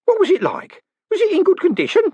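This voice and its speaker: A man voicing a fussy, easily agitated little old male postman who talks in a high, shrill voice. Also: wildly swooping pitch, quick pace, darkish tone, dry recording, exaggerated voice. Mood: horrified.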